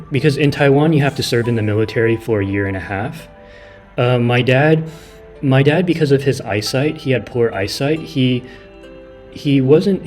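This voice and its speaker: A man's voice: medium pitch, a little mellow. A man streaming a game and chatting casually with his viewers, rambling with many restarts. He is from California, and his first language is Mandarin. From California, near San Francisco. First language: Mandarin Chinese. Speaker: gaming streamer. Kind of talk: casual live-stream chat, reading chat.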